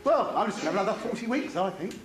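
Gullible, friendly comic lad played by a man, very high voice, echoey hall with audience. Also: expressive, racing, very noisy. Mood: chuckling, chatty. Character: simple friendly young male pantomime clown, excitable and gullible. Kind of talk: amateur pantomime stage acting.

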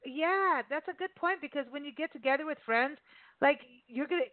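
A woman radio host chatting with her guest, quick to laugh and react. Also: high-pitched, no echo. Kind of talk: casual radio interview chat. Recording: dry, tinny phone line.

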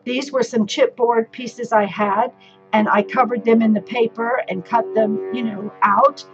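A woman casually explaining a handmade photo album step by step, a little hoarse, with filler words as she talks. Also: fairly high pitch, fairly even intonation, a little slow, muffled recording, music in the background. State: slightly hoarse. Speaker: crafting teacher. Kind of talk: casual show-and-tell demonstration. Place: indoors at a craft table. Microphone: camera overhead on tabletop.

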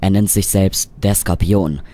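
A man's voice: low voice, fairly even intonation, unhurried, mellow. A man playing a shady, reluctant dealer in a science fiction audio drama, speaking grudgingly.

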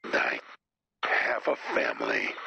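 A man playing a menacing cloaked loner vigilante in a video game, with a raspy growl and terse, melodramatic, formal lines. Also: rumbling low, wildly swooping pitch, slow pace, bright, forceful tone, full character voice. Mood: brooding, curt. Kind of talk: scripted video game character dialogue.

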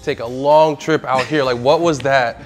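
A man's voice: mid-range voice, fairly even intonation, normal speed. Man, thirties, interview host, casual and affirming, lapel mic, faint music under. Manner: upbeat, affirming, slangy. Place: indoor studio set.

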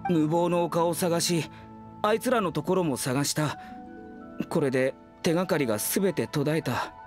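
A man's voice: a little high, moderate pace, close to an everyday voice. Earnest young male undertaker for an anime game, played by a man; brooding, troubled, over background music. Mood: worried. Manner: serious, brooding.

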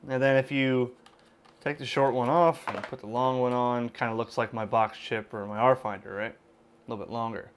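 A man with a mid-pitched voice talks casually through a product demonstration. His explanations are informal and conversational, often hedged, like someone thinking aloud at his desk. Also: flat delivery, normal speed, a little hiss or hum. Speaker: amateur radio video host and product reviewer. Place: indoors at a computer desk.

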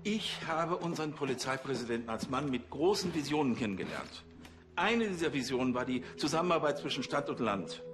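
A man's voice: medium pitch, animated, a little fast, close to an everyday voice. A man playing a fussy, self-important provincial police chief who speechifies pompously and hesitantly in a TV drama. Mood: solemn, pompous.

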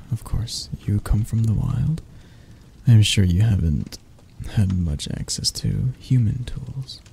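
A man playing a seductive, doting yet predatory castle monster in an intimate ASMR roleplay, close to the mic with a husky, gravelly voice and a slow, teasing manner. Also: on the low side, heavily acted. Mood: smooth, condescending. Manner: slow, teasing, coaxing, with soft chuckles. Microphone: close microphone.

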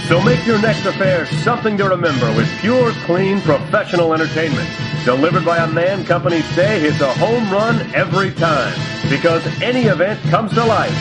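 A polished male announcer reads promo copy with a slightly raised pitch. A clear music bed plays under him throughout. His delivery is smooth and scripted. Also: expressive, brisk, poor audio. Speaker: promo narrator.

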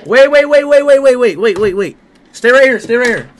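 Loud hyped young man on a headset. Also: extremely high pitch, animated, normal speed, slight background noise. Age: young adult. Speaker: streamer. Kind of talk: casual video chat. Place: small room with green screen. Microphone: gaming headset.

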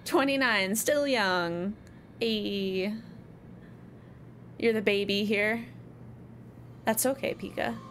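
A woman's voice: mid-range voice, slow pace, pauses often, a bit bright, a little hiss or hum. A woman in her twenties chatting casually with her chat while playing a game at home. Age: 20s. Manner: chatty, self-deprecating, lots of fillers. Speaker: streamer. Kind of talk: live game stream chat.

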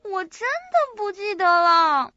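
A woman acts out a sweet, naive young woman in a radio play. Her voice is extremely high and unhurried, feeling its way hesitantly through each phrase. The sound is dry but a little muffled and lo-fi. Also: lively intonation, loud music behind the voice, full character voice. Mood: innocent, earnest. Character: childlike, sweet, forgetful young woman just awakened. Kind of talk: audio drama acting. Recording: muffled lo-fi audio.

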